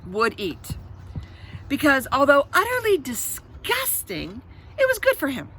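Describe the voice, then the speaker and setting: A woman reading a children's story aloud with expressive, dramatic emphasis and chatty asides to her listeners. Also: high-pitched, measured pace, soft background music, low-quality recording.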